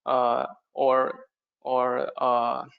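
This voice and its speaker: A man presents a product as if in a training session. His voice is low-pitched for a male speaker and creaks with fry. He moves haltingly, hesitating and repeating words as he explains. The audio is dull and lo-fi. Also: completely flat delivery.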